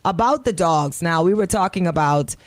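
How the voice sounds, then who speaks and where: A woman's voice: lowish voice, animated, moderate pace. A middle-aged woman with a Caribbean accent, hosting casual radio chat in a colloquial, emphatic storytelling way. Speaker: radio show host.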